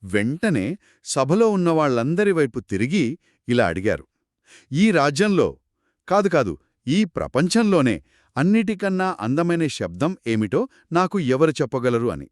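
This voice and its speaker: A man telling a children's story in a conversational, expressive and explanatory way, as one of two narrators. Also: a little high, a little slow, pauses often, dry recording, clean studio sound. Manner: expressive, explanatory, acts out characters' quoted lines.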